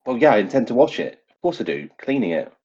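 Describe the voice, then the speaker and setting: A man's voice: medium pitch, sing-song, fast talker, darkish tone, breathy edge. A man voicing an evasive, wheedling knight in training who stammers and talks over-formally in a tabletop game. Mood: pompous, persuading. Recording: dull, slightly lo-fi recording.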